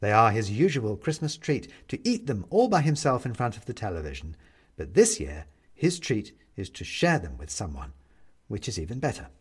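Animated male storyteller, husky edge. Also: fairly low pitch, moderate pace. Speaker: audiobook narrator. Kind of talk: expressive scripted story narration.

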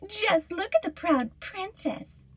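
A woman voicing a vain, cruel young fairy-tale stepsister with a sneering, drawn-out delivery. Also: very high-pitched, expressive, voice pushed up, heavily acted, poor audio. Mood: mocking.

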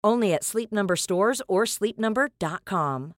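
Woman doing an ad read, polished and measured, mid pitch, very dry sound. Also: lively intonation, no echo. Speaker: advert voice-over. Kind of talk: scripted commercial narration.

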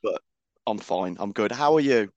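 A man with a croaky voice, co-hosting a podcast in loose, casual chat. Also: on the low side, expressive, moderate pace, no echo, muffled recording. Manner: chatty, loose, with many restarts. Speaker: co-host.

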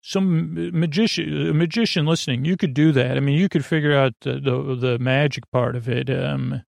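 A man with a low, heavily creaky voice rambles through a bedtime monologue as a sleep podcast host. He halts, restarts and wanders off on tangents in a conversational way. The sound is close and dry. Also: steady tone, no room sound. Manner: meandering, halting, drifts off on tangents.

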